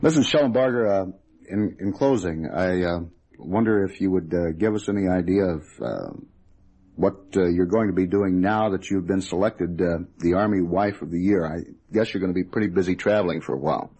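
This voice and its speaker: Low-voiced American man, halting interviewer. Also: mellow, husky. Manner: hesitant, halting questions. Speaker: radio interviewer. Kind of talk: formal, polite radio interview.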